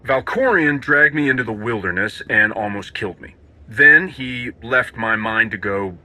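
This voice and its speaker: An actor playing a commanding, direct smuggler hero in a video game. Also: male voice, medium pitch, expressive, moderate pace, sharp tone, soft background music, rough recording. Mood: grim. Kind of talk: video game cutscene acting.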